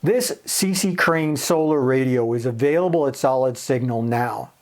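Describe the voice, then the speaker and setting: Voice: medium pitch, moderate pace, a little mellow. A reviewer giving a casual, plain-spoken, conversational product review to camera.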